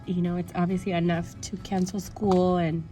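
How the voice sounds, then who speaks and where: A woman's voice: lowish voice, level tone, moderate pace, mellow, soft background music. Stuffy young woman vlogging on phone. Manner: chatty, rambling with fillers. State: stuffy, sore throat. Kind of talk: casual vlog chat to camera. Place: indoors at home, then outdoors in snow. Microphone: phone held at arm's length.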